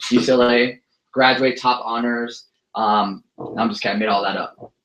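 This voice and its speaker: Bright-voiced young man, joking. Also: mid-range voice, fairly even intonation, moderate pace, a little hiss or hum. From United States. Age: 20s. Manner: joking, self-deprecating storytelling. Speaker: motivational speaker. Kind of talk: motivational speech to an audience. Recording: echoey room sound.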